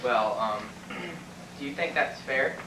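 A man's voice: mid-range voice, takes it slowly, natural voice. A man playing a nervous, evasive 48-year-old in an amateur stage comedy, stammering, hesitating and pleading. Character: flustered, evasive 48-year-old man dodging an army physical. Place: classroom used as a small stage. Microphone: far camera microphone. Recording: distant, echoey, faintly noisy VHS room recording.

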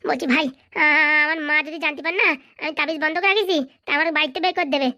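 A woman's voice: high-pitched, fast, pushed and bright, dry recording, studio quality. A woman voicing a timid, gullible village boy who stammers and whines nervously. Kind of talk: comic cartoon voice acting.

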